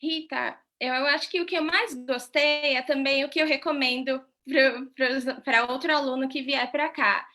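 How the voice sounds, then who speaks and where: A Brazilian woman, a doctoral student on a panel, speaking in a reflective, measured way. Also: high voice, fairly even intonation, sharp tone.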